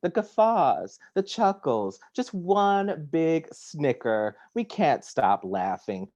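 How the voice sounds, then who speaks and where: A man reading his poems aloud at home over a video call, measured and deliberate, sometimes dropping to a hush. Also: a little high, sing-song, no room sound, dull audio. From Detroit, United States. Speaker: poet. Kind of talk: poetry reading. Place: home, at a kitchen table.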